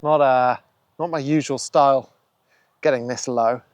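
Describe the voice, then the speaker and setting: A man hosting his own photography channel, thinking aloud in a relaxed, musing way as he works a scene outdoors on a windy coast. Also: medium pitch, a little slow, faint music behind. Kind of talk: casual vlog narration on location.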